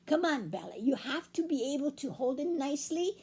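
A woman voicing a kind, encouraging friendly ghost in a told story, coaxing and soft. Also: sits high, sing-song, a little fast. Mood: gentle.

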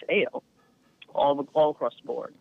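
A woman's voice: sits low, moderate pace, gravelly, no echo. Frank woman on a tinny line. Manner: frank, lively, laughs easily. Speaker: podcast guest. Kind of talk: candid storytelling. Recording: tinny phone-line sound, dry.

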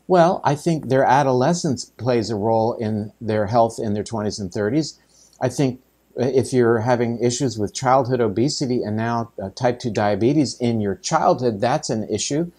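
A male podcast host leads the interview in a conversational way, often pausing on fillers. His voice is mellow and warm, mid-pitched. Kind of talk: interview questions.

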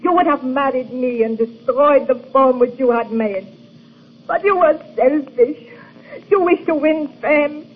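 An actress playing a grieving young fiancée in a vintage radio drama, accusing him with passion and tears. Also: female voice, sits high, measured pace, breathy edge. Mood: tearful. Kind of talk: 1940s radio acting. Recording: muffled old radio recording.

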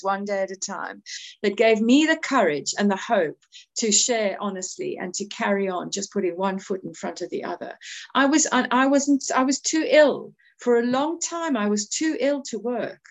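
A middle-aged woman from South Africa with a South African accent, sharing her recovery story in a heartfelt, halting way. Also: mid-range voice. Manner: heartfelt, halting, many restarts. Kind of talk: personal testimony at a recovery meeting.

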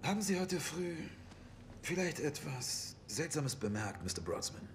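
An actor playing a dry, quirky police detective in a dubbed TV drama, questioning in a deliberate way. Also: male voice, fairly high pitch, sing-song, slight background noise. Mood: suspicious.

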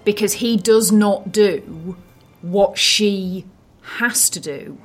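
A woman co-hosting a film podcast, giving analysis in a measured, considered way. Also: mid-range voice, soft background music.

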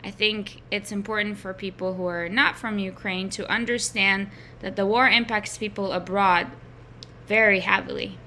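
A middle-aged woman, originally from a former Soviet republic, hosting a podcast with a clear, steady delivery. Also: mid-range voice, moderate pace, slight background noise. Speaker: podcast host. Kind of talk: scripted intro, then interview.

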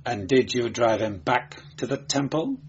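A man's voice: medium pitch, a little slow, breathy edge, highs cut off. A man voicing a stern, weary inquisitor who questions people brusquely in an audiobook story. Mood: probing. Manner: snapping, brusque.

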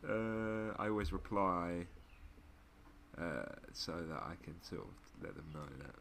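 A man with a very low, deep voice talks casually on a podcast, joking and laughing often. He reads listener messages aloud in a relaxed, slangy way. A faint hiss of background noise sits under him. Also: unhurried. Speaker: podcast co-host.

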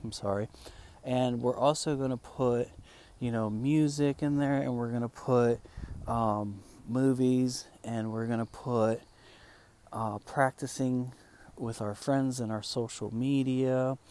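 A man whose first language is Spanish, coaching English learners in a calm, relaxed way with many fillers. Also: mid-range voice. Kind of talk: relaxed teaching monologue.

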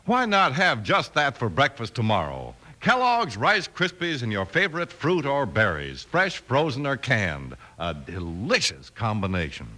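A man delivers a 1950s-style radio commercial. His voice is bright and swings widely in pitch. He coaxes the listener in a chatty, direct, scripted manner. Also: wildly swooping pitch, unhurried, few pauses, slight background noise. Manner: coaxing, addresses the listener directly. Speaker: radio advertisement announcer.

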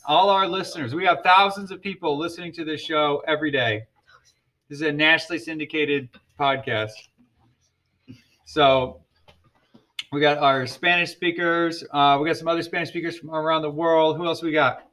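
A man's voice: a little high, steady tone, normal speed, sharp tone. A man hosting a podcast with his ESL class, joking and teasing students in casual chat.

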